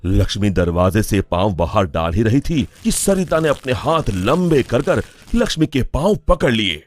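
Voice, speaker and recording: A man narrating a scripted animated story in a dramatic storytelling style. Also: lowish voice, moderate pace. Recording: music under the voice.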